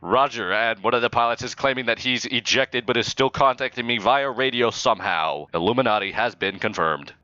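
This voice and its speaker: A man speaking as a mock-official air traffic controller and announcer, improvising in a deadpan manner. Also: medium pitch, a little fast, hardly any pauses, smooth, no room sound, muffled recording. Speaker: flight simulator player acting as air traffic controller and airshow announcer. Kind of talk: improvised radio talk and mock commentary.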